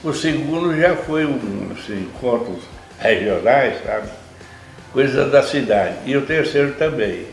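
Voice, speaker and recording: Brief: elderly Brazilian man in his 90s, thoughtful guest, echoey room with soft music behind. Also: medium pitch, expressive, vocal fry. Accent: Brazilian Portuguese. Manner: slow, reflective, with small restarts. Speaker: interviewee, retired lab doctor. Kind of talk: reminiscing conversation.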